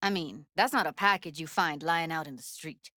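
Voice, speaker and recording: A woman's voice: low voice, moderate pace, sharp tone, no room sound, clean studio sound. A woman voice-acting a tough, blunt but tender-hearted young techie in a video game, with clipped, slangy, sweary phrasing. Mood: urgent, focused.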